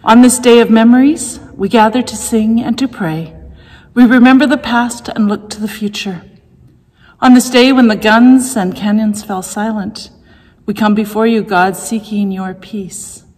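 A middle-aged woman leads worship from a room at home, her prayers calm and measured. Her voice is a little husky, and a long reverb trails after her words. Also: a little high. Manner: calm, measured liturgical reading. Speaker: worship leader. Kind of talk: leading a church service.

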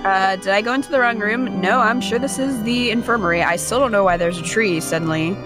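A woman co-hosting a gaming video, reading visual novel narration with playful, expressive asides. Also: mid-range voice, fast, music in the background.

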